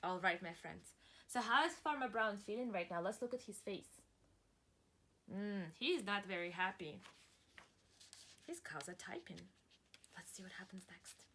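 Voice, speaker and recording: Bright, very lively woman reading to young children. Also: medium pitch, a little hiss or hum. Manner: slow, deliberate and dramatic, stretching words, pausing to ask questions; encouraging, praising and addressing listeners as friends. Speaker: preschool teacher reading aloud. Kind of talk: interactive picture-book read-aloud for young children.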